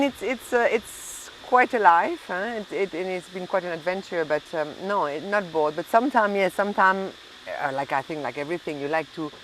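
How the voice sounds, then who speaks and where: A woman's voice: lowish voice, a little hiss or hum, rough recording. A middle-aged woman, a native French speaker from Belgium and southern France with a French accent, chatting casually in an interview and often searching for words. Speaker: interview guest, hotel and restaurant owner.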